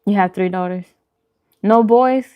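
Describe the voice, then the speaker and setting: A woman's voice: medium pitch, unhurried, clear, resonant tone, muffled recording. A woman chatting informally with her live-stream viewers, answering comments as she goes. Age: adult, parent. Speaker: food vlogger and live streamer.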